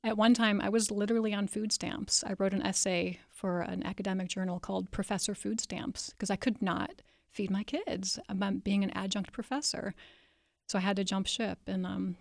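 A creaky-voiced woman you'd hear as the frank, self-deprecating guest on a close, dry studio book interview. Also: mid-range voice, steady tone, normal speed, dry recording. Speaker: author being interviewed. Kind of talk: candid personal storytelling in an interview. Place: radio studio, in person.

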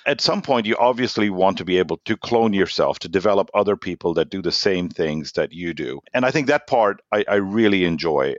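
A man in his forties who grew up in Norway, German his first language, answering podcast questions in a measured, explanatory way with restarts. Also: sits low, no echo, dull audio. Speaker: guest, sales executive.

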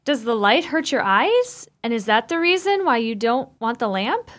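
A woman's voice: on the high side, sing-song, moderate pace, a bit bright. A woman acting an anxious, easily alarmed sister in a radio play, full of urgent questions. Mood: puzzled, fretful.

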